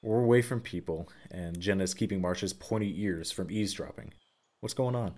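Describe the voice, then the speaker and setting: An actor playing a concerned, steady young rancher in an audio drama, measured and low-key. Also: male voice, natural voice.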